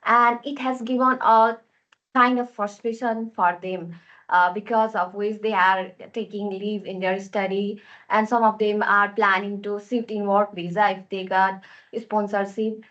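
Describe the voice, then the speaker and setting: A woman's voice: medium pitch, flat delivery, unhurried, fairly bright tone, lo-fi sound. A young woman from Nepal with a Nepali accent, asking an earnest, detailed question from the audience. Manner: earnest, fluent, long questions.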